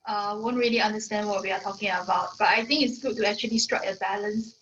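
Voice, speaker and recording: A woman's voice: medium pitch, steady tone, fast, fairly bright tone. A woman from Singapore, talking casually about her planned talk show and asking thoughtful questions. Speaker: aspiring talk show host. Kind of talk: casual chat. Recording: slightly dull lo-fi audio with faint noise.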